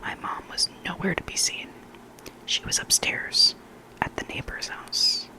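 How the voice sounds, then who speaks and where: A hushed, breathy whisper reading stories slowly and deliberately in ASMR style, close to the microphone. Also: poor audio. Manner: slow, deliberate, hushed, with repeated trigger words. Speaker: host reading listener horror stories.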